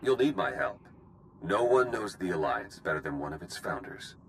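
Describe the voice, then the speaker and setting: An actor playing a roguish spy in a video game, casual, sardonic and persuasive. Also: male voice, deep voice, very animated, normal speed, a little hiss or hum. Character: wry, roguish male spy pleading his case. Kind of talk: dramatic video game cutscene acting.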